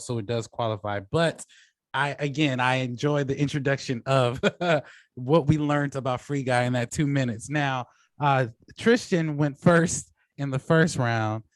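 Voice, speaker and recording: A man's voice: mid-range voice, dry recording. A man moderating a debate show in a casual, affable way, often chuckling as he talks. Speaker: debate show host and judge.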